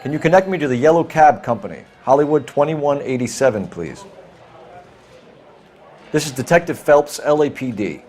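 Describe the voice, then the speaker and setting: Man playing a clipped, animated American police detective. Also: medium pitch, moderate pace, natural voice. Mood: official. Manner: clipped, formal, authoritative. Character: brisk, businesslike young male police detective and ex-Marine. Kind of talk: video game dramatic acting.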